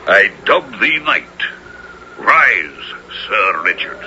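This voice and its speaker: An actor playing a commanding, shrewd and magnanimous duke in a radio drama, with lordly, archaic, declamatory delivery. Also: male voice, lowish voice, very animated, very slow, full character voice. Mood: gracious, stern. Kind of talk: 1970s radio drama acting. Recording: noisy, muffled old radio broadcast.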